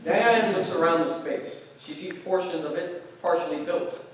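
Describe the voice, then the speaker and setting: A man's voice: high-pitched, unhurried. A man reading scripted stage directions as narrator at a staged play reading. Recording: very echoey room, distant, faint noise.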